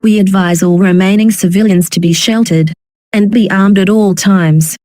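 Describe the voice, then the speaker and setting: A woman's voice: mid-range voice, fairly even intonation, normal speed, dark tone, dry recording. A woman reading a scripted, formal emergency broadcast announcement.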